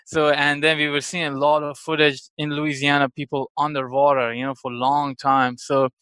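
A man's voice: on the high side, little pitch movement, normal speed, pushed and bright, smooth, dry recording. A man in his thirties from Iran, a guest chatting casually in an interview, laughing often and checking in with "correct?". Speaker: guest, company founder.

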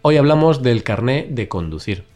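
A man's voice: mid-range voice, normal speed, music in the background. A man from with a stuffy nose from a cold, hosting a casual podcast for learners over a video call. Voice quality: nasal. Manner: relaxed, chatty, laughs often. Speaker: podcast host. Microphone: recorded over a video call.

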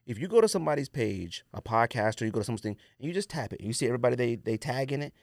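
Animated man ranting on a podcast. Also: medium pitch, rapid-fire, vocal fry, no room sound, clean studio sound. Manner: emphatic, repeats phrases, slangy and blunt. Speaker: podcast host. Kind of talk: casual sneaker podcast chat, ranting.

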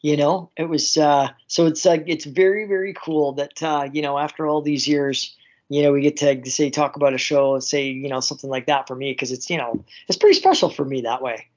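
A man's voice: on the high side, steady tone, fairly quick, darkish tone. Effusive Canadian man, muffled audio. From small town near Vancouver, Canada. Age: middle-aged. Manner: effusive, rambling, frequent "you know". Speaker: voice actor, interview guest. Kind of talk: casual interview chat.

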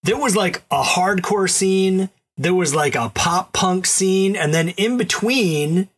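A man from the Boston area co-hosting a podcast, talking in a relaxed, wry, questioning way. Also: high voice, takes it slowly, husky. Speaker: podcast co-host. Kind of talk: casual chat.

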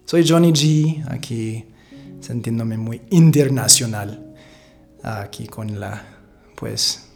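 A man's voice: mid-range voice, slow, vocal fry, pauses often, soft background music. A man whose first language is English, talking in a casual, rambling and thoughtful way as a podcast host. He often restarts his sentences and chuckles now and then. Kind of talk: casual reflective monologue.

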